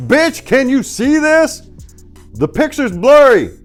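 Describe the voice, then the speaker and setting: A huge crime boss played by a male narrator bellows in a high, strained shout, wired and volatile. His delivery swings wildly and is profane, in the manner of a pulp crime story read aloud. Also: very animated, takes it slowly, faint music behind, exaggerated voice. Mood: furious. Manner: bellowing, screaming. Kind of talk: dramatic reading of crime fiction.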